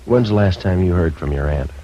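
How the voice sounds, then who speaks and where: A 50s radio cop, american, really deep voice, flat deadpan and businesslike, muffled old recording. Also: male voice, a little fast. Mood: dry, probing. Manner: deadpan, terse, matter-of-fact. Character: dry, businesslike male police detective narrating his case. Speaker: detective and narrator. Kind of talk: 1950s radio police drama, clipped procedural narration. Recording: dull 1950s radio recording with music.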